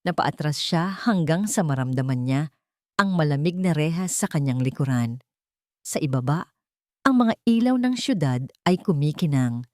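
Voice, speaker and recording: A woman narrating a scripted dramatic story in measured, suspenseful phrasing. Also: low-pitched, lively intonation, a little mellow, dry recording, studio quality.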